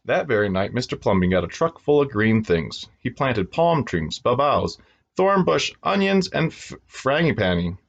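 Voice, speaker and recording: A man reading a children's storybook aloud in an expressive storytelling style for young listeners. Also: medium pitch, moderate pace, creaky, highs cut off.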